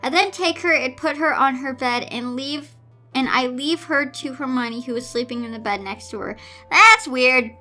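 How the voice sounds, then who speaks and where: Woman, bright high voice, reads stories aloud with exasperated, sarcastic commentary; music underneath. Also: normal speed. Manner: theatrical, incredulous, sarcastic asides. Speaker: host reading fan fiction aloud with commentary.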